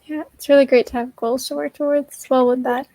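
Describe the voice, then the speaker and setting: A woman's voice: high-pitched, steady tone, brisk, mellow, no room sound. A woman moderating a webinar panel, giving brief, polite prompts to each speaker.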